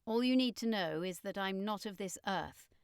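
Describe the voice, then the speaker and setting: A grave Martian man, acted by a woman reader in an audiobook. He talks slowly and solemnly, guarded and gently regretful. The recording is dry and close. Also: mid-range voice, clean tone, dry recording, close to an everyday voice.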